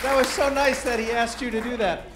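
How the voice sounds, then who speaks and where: A middle-aged man doing a stand-up comedy set on a club stage, deadpan and self-deprecating, with rambling asides. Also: very high-pitched, fairly quick. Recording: roomy live club with crowd noise. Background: audience murmur and laughter.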